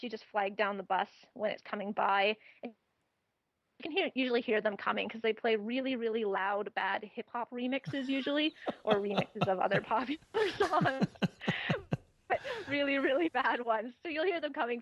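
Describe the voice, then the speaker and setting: A woman's voice: a little high, normal speed, no room sound, muffled recording. A woman guest on a travel interview, chatting casually and laughing often as she gives practical advice.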